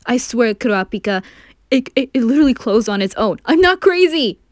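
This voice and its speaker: Woman acting spooked anime guy, loud, exasperated, blustering, protesting. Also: fairly high pitch, very animated, fairly quick. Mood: panicked. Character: jumpy, loud, easily spooked young man. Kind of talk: fan audio roleplay of an anime.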